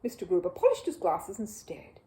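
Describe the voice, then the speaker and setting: A woman narrating a children's story as an animated audiobook reader. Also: lowish voice, moderate pace.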